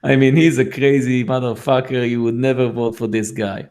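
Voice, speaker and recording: A man with an Israeli accent talks through his points in a casual podcast chat, in a voice of middling pitch. He stumbles and restarts often, leaning on fillers as he goes. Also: little pitch movement, darkish tone. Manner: halting, many restarts and fillers, laughs between points. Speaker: guest.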